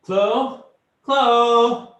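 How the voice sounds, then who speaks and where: An exasperated young gentleman in a stage play, acted by a man, recorded in an echoey room. His high voice is abrupt and distracted. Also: steady tone, drawn-out words, full character voice.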